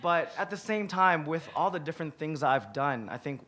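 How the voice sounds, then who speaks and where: A man in his thirties with a hoarse, raspy voice speaks on a conference panel, his tone relaxed and chatty. He restarts often and repeats words, speaking into a table mic in a big hall. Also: a little high, fairly quick. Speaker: museum curator, panelist. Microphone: table mic on a stand.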